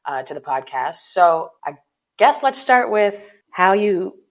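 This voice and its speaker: A woman's voice: medium pitch, very animated, takes it slowly. A woman hosting her own podcast, introducing the episode and asking her guest questions. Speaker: podcast host.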